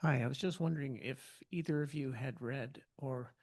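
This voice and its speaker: A man of middling pitch puts a question from the audience at a meeting. He sounds polite and slightly tentative, forming the question as he speaks. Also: normal speed, a little mellow. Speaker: audience member asking a question. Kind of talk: unscripted question at a public meeting.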